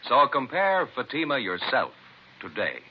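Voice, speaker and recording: A man's voice: mid-range voice, big pitch swings, moderate pace, slight background noise, lightly acted. A man performing as a grave, authoritative American announcer in the scripted style of 1950s radio. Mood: assured, selling. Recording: muffled vintage radio recording, faint music.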